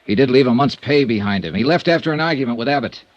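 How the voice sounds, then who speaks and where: Casting: a man as a hard-boiled investigator, dry and persistent, lively delivery. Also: mid-range voice, fast, dry recording, dull audio, lightly acted. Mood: terse. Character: tough wry male insurance investigator. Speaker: investigator and narrator. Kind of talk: 1950s radio drama acting.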